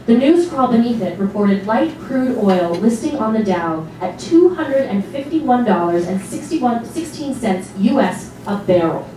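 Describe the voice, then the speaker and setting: A woman's voice: a little high, expressive, measured pace, hardly any pauses, dark tone. A woman giving a dramatic reading of literary narration on stage. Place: small indoor theatre stage before an audience. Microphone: distant camera microphone. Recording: echoey hall, far microphone, noisy.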